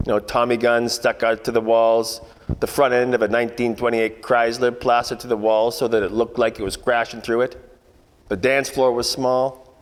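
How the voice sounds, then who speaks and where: An actor playing a world-weary, wisecracking 1940s private detective, narrating in hardboiled radio-play style with deadpan asides. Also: male voice, mid-range voice, long reverb tail, slight background noise, lightly acted. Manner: wry, colloquial, deadpan asides.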